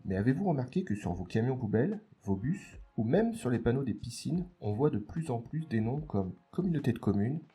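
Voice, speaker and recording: A man hosting an educational podcast, reading a scripted explainer in a didactic, clearly structured way that addresses the listener. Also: mid-range voice, sing-song, a little fast, hardly any pauses, dark tone, faint music behind. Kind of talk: scripted explainer narration for exam revision.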